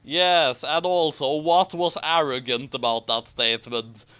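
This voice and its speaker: A man's voice: mid-range voice, a little slow, bright, forceful tone, smooth. A man reading a story aloud expressively, breaking off for sardonic asides. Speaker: reader of a story with commentary. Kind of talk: story narration with mocking asides.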